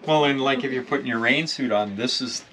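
A man's voice: medium pitch, a little fast, pushed and bright. A middle-aged or older man talking casually and matter-of-factly as he shows his boat, recorded from a little way off. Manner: plain-spoken, matter-of-fact. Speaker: boat owner giving a tour. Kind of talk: casual chat. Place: sailboat, on deck and in small wooden cabin. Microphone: camera a couple of metres away.

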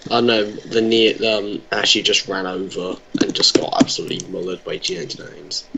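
A young man chatting casually while playing a game online, joking at his own expense and breaking into excited exclamations. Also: low-pitched, a little fast, vocal fry, noisy background. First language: Welsh. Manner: jokey, self-mocking, bursts of excited exclamations. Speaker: gamer. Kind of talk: casual gameplay chat.

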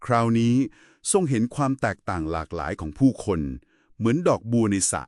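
Man explaining with a lively, varied pitch. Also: fairly quick, dry recording, clean studio sound. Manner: polite, didactic. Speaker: explainer in a two-person discussion. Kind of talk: conversational explanation of Buddhist scripture.

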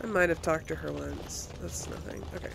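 A woman's voice: deep voice, brisk, vocal fry, noisy background. A woman hosting a let's play, chatting casually and thinking aloud as she comments on her game.

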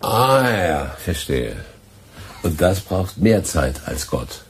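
A man playing a laconic, deadpan studio technician who teases his colleague, in a radio play. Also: deep voice, slow, slightly rough, exaggerated voice. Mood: teasing, businesslike. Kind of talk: German radio play acting.

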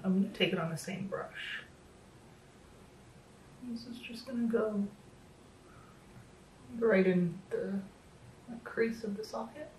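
A woman's voice: mid-range voice, a little hiss or hum. A woman in her thirties chatting casually through a makeup tutorial at home, self-deprecating and full of fillers, her voice a little worn. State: slightly losing her voice. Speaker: makeup vlogger. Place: small home room, indoors. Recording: roomy echo, a bit distant from the mic.

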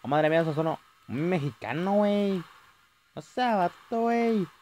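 A young man with a Chilean accent commentating a horror game, yelling at jump scares and rambling between them. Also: high voice, lively intonation, moderate pace, a little hiss or hum. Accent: Chilean Spanish. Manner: jumpy, shouting at scares, rambling asides. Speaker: gaming youtuber. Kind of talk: let's play commentary.

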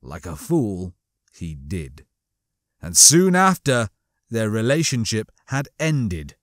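A man reading comic fiction as a scripted audiobook, with a theatrical, expressive storytelling manner. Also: lowish voice, slow, no room sound, studio quality. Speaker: audiobook narrator.

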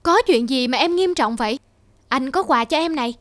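A man playing a devoted, hard-working husband in a cartoon drama, earnest and expressive. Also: extremely high pitch, moderate pace, dry recording. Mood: affectionate.

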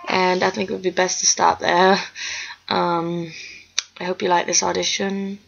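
Hesitant young male with a high voice. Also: monotone, unhurried, vocal fry, a bit bright, highs cut off, faint music behind. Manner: hesitant, apologetic. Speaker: amateur voice actor auditioning. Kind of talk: informal spoken audition.

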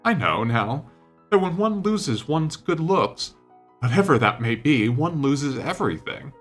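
A man voicing a petulant, melodramatic young gentleman in an audiobook, vain and wounded, speaking in an impassioned, plaintive way. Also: a little high, sing-song, a little slow, soft background music, pitched above the performer's own voice. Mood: bitter, hurt.

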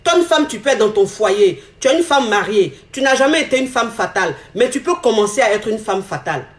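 Brief: woman in her 30s, higher voice, a bit hoarse, recorded on a phone in an echoey room. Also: lively intonation, fairly quick. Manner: emphatic, repeats phrases, gestures a lot while talking. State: slightly hoarse from a cold. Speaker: relationship coach. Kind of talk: animated advice monologue to camera. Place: indoor room. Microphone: phone camera at arm's length.

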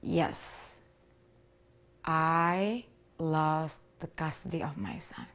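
Slow-spoken Indonesian woman telling her story. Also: low-pitched, sing-song, vocal fry, fairly bright tone. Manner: earnest, emotional storytelling with grammar slips. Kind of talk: personal testimony to camera. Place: living room with leather sofa, indoors. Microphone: lapel mic.

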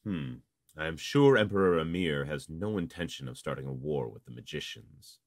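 A man playing a diplomatic armored nobleman in a visual novel, speaking formally and deliberately. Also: rumbling low, expressive, dry recording, studio quality, heavily acted. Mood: guarded, formal. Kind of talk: visual novel character acting.

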